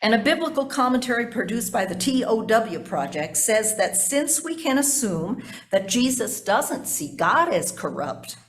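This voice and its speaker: A woman's voice: a little high, very animated, normal speed. Woman pastor preaching with emphatic stresses. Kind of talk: sermon, explaining scripture.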